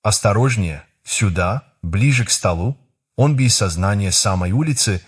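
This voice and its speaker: A man's voice: lowish voice, lively intonation, moderate pace, slightly rough. A man voicing a terse police officer giving short commands in an audiobook. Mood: directing.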